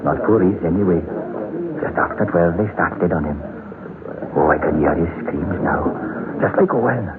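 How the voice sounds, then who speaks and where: Deep-voiced haunted mine survivor. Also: male voice, expressive, normal speed, pauses often, a crowd in the background. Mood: haunted, grim. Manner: brooding, dramatic storytelling. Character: haunted mine cave-in survivor telling his tale, unhinged. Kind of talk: old-time radio drama acting. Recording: noisy lo-fi old radio broadcast.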